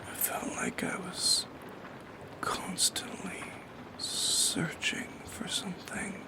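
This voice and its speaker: A man voicing a lonely, gently eerie shadow creature in an ASMR roleplay, in a breathy, hushed whisper with poetic, lingering phrasing. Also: on the high side, exaggerated voice. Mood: wistful, yearning. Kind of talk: soft-spoken ASMR roleplay monologue.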